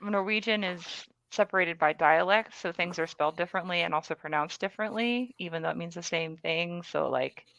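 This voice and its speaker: A woman hosting a casual podcast chat, talking in a bubbly, enthusiastic way and quick to praise her guest. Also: lowish voice, gravelly, fairly bright tone, no room sound. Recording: dull dry recording.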